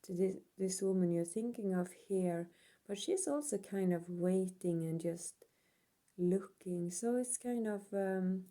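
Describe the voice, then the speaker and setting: A woman with a Swedish accent doing a casual tarot reading, hesitant and full of pauses, speaking English as a second language. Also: lowish voice, dark tone. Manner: hesitant, many ums and pauses. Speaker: tarot reader. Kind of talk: slow casual card reading.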